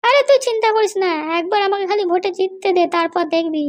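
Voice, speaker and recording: A woman's voice: extremely high pitch, fast talker. A woman voicing a bossy, scheming female election candidate in a comedy cartoon. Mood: assuring. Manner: dismissive, assuring. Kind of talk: amateur comedy cartoon dub.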